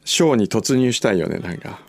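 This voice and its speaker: A woman's voice: a bass voice, animated, fast talker, over a noisy crowd. A woman chatting casually on a talk show, musing in trailing half-sentences.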